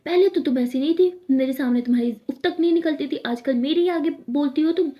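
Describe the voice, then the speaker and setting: A woman's voice: sits high, a little fast, lo-fi sound, voice pushed up, close to an everyday voice. A woman voicing a strict, impatient mother who scolds her daughter firmly. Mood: scolding. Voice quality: firm, scolding.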